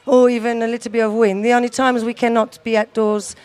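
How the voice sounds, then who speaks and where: A woman's voice: on the high side, level tone, moderate pace, darkish tone. A woman founder of an outdoor school giving a radio interview at an outdoor event, talking fluently but with many fillers and restarts. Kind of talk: radio interview, switching between English and French.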